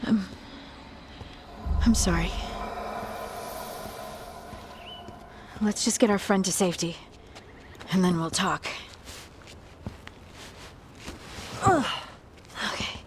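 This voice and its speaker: A woman's voice: mid-range voice, measured pace, background noise, close to an everyday voice. An actress playing an earnest young woman fighter, unsure of her new magic, speaking hesitantly in a video game cutscene. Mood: uneasy.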